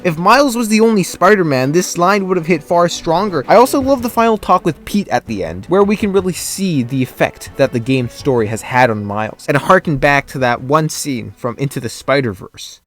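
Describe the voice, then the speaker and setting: A man's voice: a little high, lively intonation, brisk, few pauses, fairly bright tone, soft background music. Stuffy-nosed young male game reviewer. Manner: enthusiastic, opinionated. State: congested, stuffy nose. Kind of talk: scripted video review narration.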